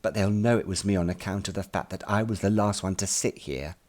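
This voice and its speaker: A man's voice: low voice, fairly quick, natural voice. A man reading an audiobook as an anxious, earnest ten-year-old schoolboy telling his worries in the first person. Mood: fretful, upset. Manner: earnest, fretful, expressive storytelling. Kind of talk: first-person audiobook narration in present tense.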